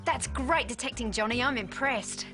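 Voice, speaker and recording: A woman's voice: on the high side, normal speed, music in the background, close to an everyday voice. A woman playing a sensible, by-the-book cartoon police detective, dry and businesslike. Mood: composed. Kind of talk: cartoon voice acting.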